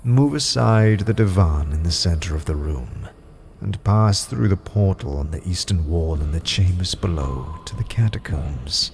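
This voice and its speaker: Grim withered old underworld master: very low, slow, haughty and menacing, fantasy audio drama. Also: male voice, animated, darkish tone, soft background music, exaggerated voice. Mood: commanding. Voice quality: gravelly, grim voice. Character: withered old man, grim, imperious underworld collector of skulls.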